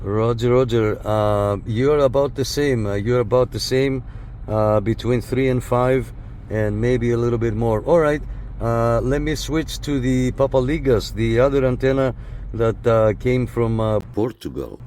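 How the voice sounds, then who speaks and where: A man's voice: medium pitch, measured pace, darkish tone. Man demonstrating radio gear over noise. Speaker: host of a CB radio antenna test. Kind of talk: casual hands-on demonstration with radio call talk. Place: inside a parked car and outside beside it. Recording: noisy car and outdoor background.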